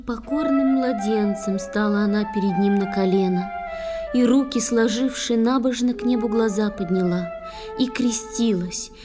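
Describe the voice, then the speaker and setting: A woman narrating a tale in verse with expressive, dramatic, theatrical delivery. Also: medium pitch. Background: music with flute.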